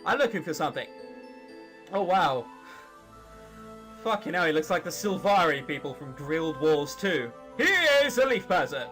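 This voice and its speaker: A man's voice: on the high side, lively intonation, a little slow, fairly bright tone. A British man in his late teens giving casual, sarcastic, sweary commentary while reading a visual novel aloud. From England or Britain. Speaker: gaming video host. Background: music.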